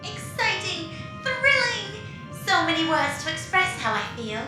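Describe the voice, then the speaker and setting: A woman playing an excitable, naive, newly self-aware ship computer in a comedy audio drama, chirpy and gushing. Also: very high voice, a little slow, exaggerated voice. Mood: excited, delighted. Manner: chirpy, gushing, wide-eyed. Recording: echoing voice with music behind.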